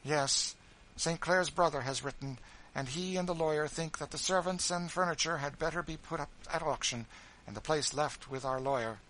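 A man's voice: normal speed, slight background noise, low-quality recording. A man putting on a petulant widow. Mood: haughty. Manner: complaining, sharp, high and raised. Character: petulant, self-pitying wealthy widow.

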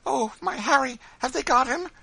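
A man's voice: very high-pitched, moderate pace, vocal fry, pushed and bright, slightly rough, voice pushed up, exaggerated voice. A man voicing a frightened young mother on the run, pleading in exclamations for a storybook. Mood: desperate, pleading.

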